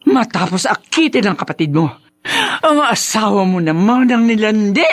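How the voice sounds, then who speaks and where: A venomous middle-aged mother in a Filipino radio drama. She scolds with haughty scorn in a medium register. Her pitch swings widely and melodramatically. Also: lively intonation, moderate pace. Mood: indignant, urging.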